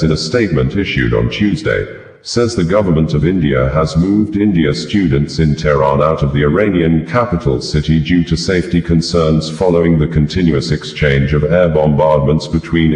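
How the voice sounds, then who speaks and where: A man's voice: extremely low pitch, a little mellow. A man reading scripted news narration as a news reader. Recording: echoey, reverberant room.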